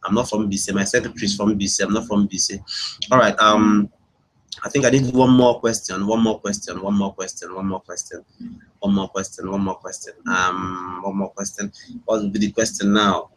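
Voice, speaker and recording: Man, Nigerian accent, fairly deep pitch, rough husky tone, informal webinar host, repetitive phrasing. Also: steady tone, brisk, low-quality recording. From Imo State, Nigeria. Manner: repeats phrases over and over. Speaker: webinar host, internet marketing coach. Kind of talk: casual live chat with online audience, reading out answers.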